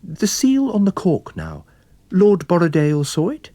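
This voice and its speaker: A man's voice: high voice, huge pitch swings, unhurried, warm tone, dry recording, pitched above the performer's own voice, heavily acted. A man voicing a polite, shrewd, inquisitive wine salesman who plays detective in a radio drama. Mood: curious, probing. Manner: courteous, inquisitive, chatty. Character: polite, curious, shrewd travelling wine salesman turned sleuth.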